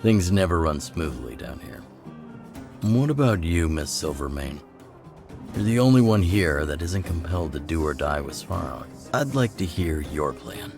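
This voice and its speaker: Gruff underground chief, middle-aged, extremely low voice, measured and plain-spoken authority, video game dialogue over faint music. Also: male voice, very animated, full character voice. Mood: pragmatic, commanding. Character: gruff older male chief of underground resistance, measured, pragmatic.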